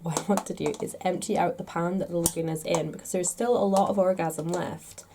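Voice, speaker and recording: A woman's voice: on the low side, fairly even intonation, fairly quick, heavy background noise. A woman vlogger talking casually to the camera in a chatty, rambling, self-deprecating way, a little congested with a stuffed-up nose. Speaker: makeup vlogger.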